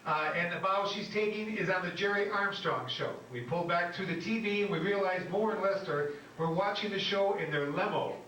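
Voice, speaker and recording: A man's voice: high voice, fairly quick, few pauses, pushed and bright. A man narrating stage directions at a live script reading, sounding amused. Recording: echoey room, distant mic, faint noise.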